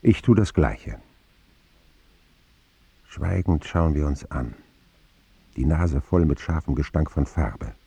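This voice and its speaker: A man's voice: extremely low pitch, expressive, takes it slowly, long pauses, warm tone, husky, no echo, full character voice. An actor playing a wry, reflective man who narrates his boyhood in an intimate radio drama style. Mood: wry, watchful.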